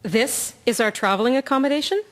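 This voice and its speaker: An actress playing a composed, stoical Canadian nursing sister in a wartime radio drama. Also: female voice, on the high side, a little fast, heavy background noise, lightly acted. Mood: curious, pleased.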